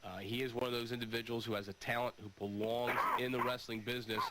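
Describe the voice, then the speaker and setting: A man's voice: mid-range voice, level tone, quick pace. A man hosting a sports call-in radio show, discussing and taking calls. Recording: noisy old radio broadcast recording.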